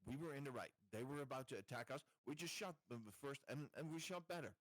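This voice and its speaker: A man's voice: medium pitch, lively intonation, fast talker, no room sound, poor audio. A man playing a tabletop game, arguing casually in a blunt, insistent way. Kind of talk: casual argumentative table talk.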